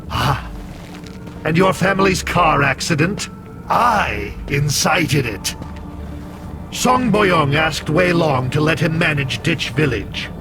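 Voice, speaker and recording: An actor playing a smug, manipulative AI villain in a video game cutscene, speaking in a deliberate, theatrical, taunting manner. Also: male voice, a little high. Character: smug, manipulative artificial intelligence villain, mocking humanity.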